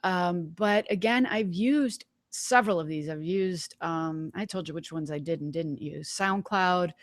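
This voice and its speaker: A woman hosting a webinar, giving a casual live software demonstration in an informal, folksy way, thinking aloud. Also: fairly low pitch, a little slow, no echo. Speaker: webinar host.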